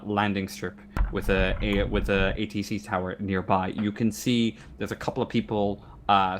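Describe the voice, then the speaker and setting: A man with a fairly low voice narrates a tabletop roleplaying game. He improvises as he goes, haltingly circling back over phrases. Faint noise sits behind the voice. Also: a bit bright. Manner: halting, searching delivery with repeated phrases. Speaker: game master.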